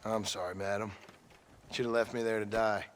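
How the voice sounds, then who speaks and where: An actor playing a weary, polite former outlaw with an American accent in a Western video game, terse and dryly understated. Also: male voice, on the low side, flat delivery, moderate pace, a little hiss or hum, lightly acted.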